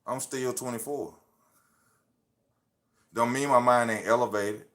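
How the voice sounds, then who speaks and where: A man's voice: mid-range voice, fairly even intonation, a little slow, fairly bright tone. A man in his thirties livestreaming off his phone, giving casual motivational talk full of slang and repeating his points like a preacher. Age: 30s. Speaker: livestream host.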